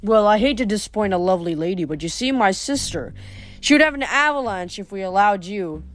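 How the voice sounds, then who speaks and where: A woman's voice: mid-range voice, lively intonation, a little fast, lightly acted. Woman putting on a vain French-accented playboy. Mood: smug, officious.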